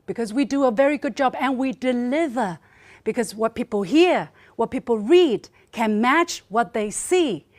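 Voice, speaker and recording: A woman's voice: on the high side, expressive, moderate pace. Emphatic woman. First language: Chinese. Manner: emphatic, persuasive, repeats words for stress. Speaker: state media panelist. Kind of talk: forum debate speech.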